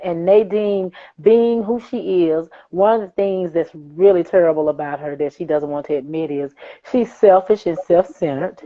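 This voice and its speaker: Casting: female playwright guest, lowish voice, emphatic and reflective, heard through thin phone-like audio. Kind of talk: conversational explanation of own work. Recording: tinny phone-like call audio.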